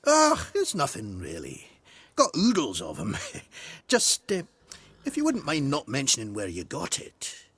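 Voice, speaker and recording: Hesitant commoner, wildly swooping pitch. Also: male voice, huge pitch swings, fairly bright tone, husky, no room sound, heavily acted. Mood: sheepish, grateful. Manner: hesitant, halting. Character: awkward, grateful male commoner handing over a gift. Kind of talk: dramatic video game dialogue.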